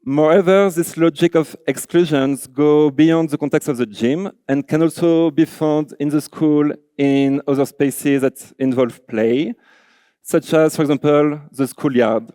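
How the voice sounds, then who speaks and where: A man's voice: on the high side, measured pace, warm tone, clean tone, dry recording. A man from France with a French accent, giving an earnest conference talk as a designer, filling his sentences with "like" and "you know". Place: large auditorium.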